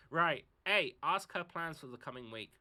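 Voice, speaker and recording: A British man live-streaming a game, joking around and reading out the choices on screen. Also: fairly high pitch. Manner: animated, jokey, reads out options.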